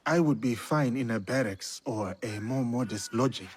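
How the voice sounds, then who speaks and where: A man as a husky, earnest foreign warrior. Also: mid-range voice, moderate pace, lightly acted. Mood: polite, subdued. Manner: terse, earnest. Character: earnest, determined foreign warrior in feudal Japan. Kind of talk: dramatic video game acting.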